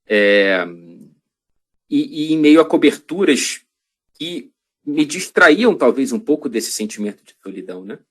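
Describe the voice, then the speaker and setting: A man with a Brazilian accent speaks as a podcast guest, recounting his experiences at length in a thoughtful, halting way. He stops and restarts often as he searches for words. His voice sits somewhat low. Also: slightly rough. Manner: reflective, halting, many restarts and fillers. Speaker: guest journalist. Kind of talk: podcast interview storytelling.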